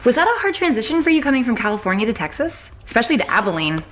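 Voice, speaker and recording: A woman's voice: a little high, very animated, rapid-fire, a bit bright, slight background noise. An American woman hosting a casual podcast interview, chatty and agreeable as she asks questions and responds.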